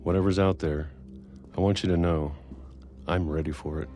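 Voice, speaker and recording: A man playing a weary middle-aged recluse, narrating a video game monologue in a slow, measured, introspective way. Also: deep voice, steady tone, over music, natural voice. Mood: grim resolve. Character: weary reclusive man who hid underground for decades.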